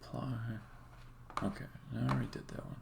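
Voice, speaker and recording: A man thinking aloud in a halting, casual way as he live-streams his game development work, often muttering to himself. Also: mid-range voice, fairly even intonation, vocal fry, background noise.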